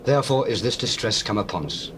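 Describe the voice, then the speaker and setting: An actor playing a troubled, guilt-ridden brother in a dramatized scripture, speaking in agitated, formal phrases. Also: male voice, on the low side, expressive, quick pace, fairly bright tone, slight background noise. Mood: remorseful. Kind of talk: dramatized scripture, theatrical acting.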